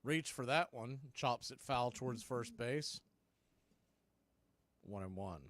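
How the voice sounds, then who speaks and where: A man calling live baseball play-by-play on the radio, in a brisk, conversational way that tracks every pitch and count. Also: expressive, fairly bright tone, no echo.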